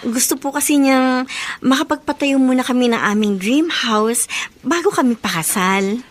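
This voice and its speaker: A sweet young fiancée, voiced by a woman in a radio drama, polite and deferential, her voice sitting fairly high. She answers her elders with warm respect. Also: moderate pace, close to an everyday voice. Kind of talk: Filipino radio drama acting.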